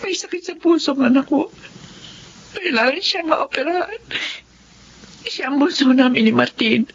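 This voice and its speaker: A woman's voice: sits high, animated, moderate pace, muffled recording, a little hiss or hum. A middle-aged woman playing a worried mother of a sick child in a radio drama. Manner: emotional, tearful.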